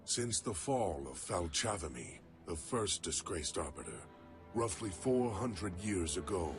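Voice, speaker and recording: Gravelly, solemn alien warrior. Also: male voice, low-pitched, sing-song, measured pace, music in the background, exaggerated voice. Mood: grave, uncertain. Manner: grave, formal, weighty delivery. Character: proud, solemn male alien warrior, stern and troubled. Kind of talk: video game cutscene acting.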